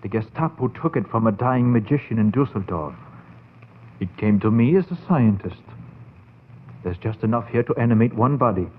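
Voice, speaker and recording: A man's voice: on the low side, lively intonation, moderate pace, a little hiss or hum, close to an everyday voice. An actor playing a sly, proud German doctor in a 1940s radio horror drama, theatrical and insinuating in his emphasis. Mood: sly, tempting. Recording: tinny phone-like 1940s radio recording.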